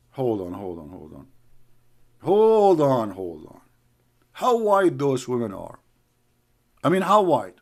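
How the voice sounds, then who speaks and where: A man's voice: mid-range voice, creaky, a little mellow. Scornful man. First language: Arabic. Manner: mocking, scornful, calls names. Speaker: online commentator. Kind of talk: heated argumentative commentary.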